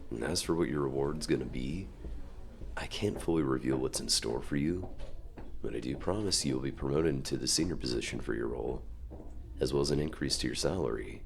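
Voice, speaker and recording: A man playing a suave, dominant company CEO in an ASMR roleplay, speaking to the listener with measured, polite, quietly controlling reassurance and deliberate pauses. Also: a bass voice, gravelly, a little hiss or hum. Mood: persuasive, confident. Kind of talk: ASMR roleplay acting, addressing the listener directly.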